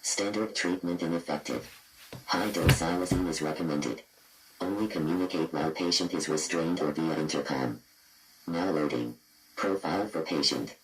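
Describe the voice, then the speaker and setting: A man's voice: very deep voice, normal speed, faint music behind, rough recording. A man voicing a cold, clinical computer system that reads out horror patient files in clipped, impassive fragments. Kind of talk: scripted horror database readout.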